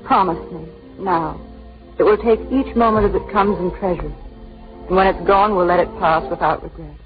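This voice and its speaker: An actress playing a wistful, bravely resigned young woman on the run in 1940s romantic radio drama, with a poetic, measured delivery. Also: on the low side, natural voice. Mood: tender, wistful. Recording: muffled 1940s radio recording with music.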